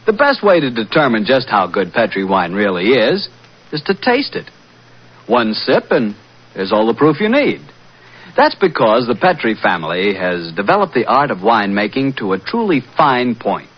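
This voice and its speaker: A man's voice: mid-range voice, big pitch swings, moderate pace. A man with an American accent working as a radio announcer in polished 1940s style. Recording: muffled 1940s radio recording with music.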